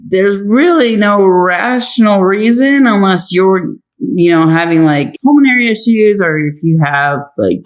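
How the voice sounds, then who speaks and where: A woman speaking as an interview guest in a casual, chatty way, telling anecdotes conversationally. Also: on the low side. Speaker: interview guest, actress and writer.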